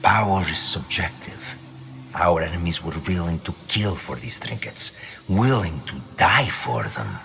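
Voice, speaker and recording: Game villain: calculating mastermind, very low register, expressive intonation, measured and menacing. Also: male voice, gravelly, exaggerated voice. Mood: cold, assured. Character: cold, calculating Templar mastermind, a grown man, coolly superior. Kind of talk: dramatic video game cutscene acting.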